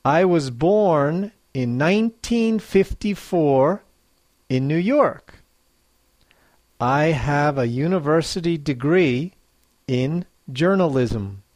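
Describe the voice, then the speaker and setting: Super slow teacher reading quiz clues twice over, bouncy up-and-down voice. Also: medium pitch, lively intonation, a little mellow, dry recording. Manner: reads each clue twice, slowly and clearly. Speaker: English teacher. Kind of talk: slow quiz dictation for learners.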